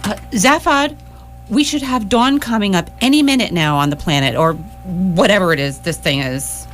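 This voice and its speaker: A woman's voice: mid-range voice, normal speed, faint music behind, close to an everyday voice. A woman playing a helpful, breezy spaceship crew member in a radio comedy drama. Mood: casual. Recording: stage performance with background hum.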